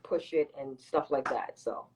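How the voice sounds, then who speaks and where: A woman's voice: very deep voice, a little slow, darkish tone. A woman from Toronto, a singer-songwriter guest, talking candidly and casually in an interview and restating her points emphatically. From Toronto, Canada.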